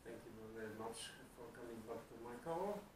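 Man, Polish accent, ham radio operator, halting repetitive delivery, very reverberant room, distant mic. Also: mid-range voice, expressive. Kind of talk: ham radio contact, spelling call signs phonetically. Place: living room, indoors. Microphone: camera placed across the room. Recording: echoey room, distant mic, clear background noise.

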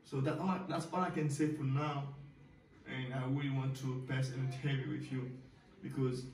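A young man with a Haitian Creole accent talks through his job application, halting and repeating himself and tossing in "you know what I'm saying". His voice is fairly flat in pitch. It is recorded from across a small, echoey bedroom, so it sounds distant and roomy. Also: a little hiss or hum. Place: small bedroom with a desk and computer, indoors. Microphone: far camera, filmed from the side. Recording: echoey room, voice from afar.

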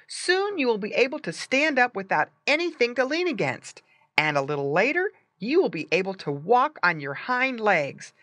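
A woman voicing an eager, kind young farm boy from a children's storybook, calling out loud encouragement. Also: on the high side, very animated, moderate pace, dry recording, pitched above the performer's own voice. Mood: encouraging.

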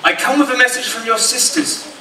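A man's voice: extremely high pitch, fairly even intonation, brisk, pushed and bright, a little hiss or hum, heavily acted. A man playing a lovestruck, bashful young servant lad in a stage pantomime. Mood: eager. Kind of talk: theatrical pantomime acting. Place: large hall stage, indoors. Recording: echoey stage hall from far off.

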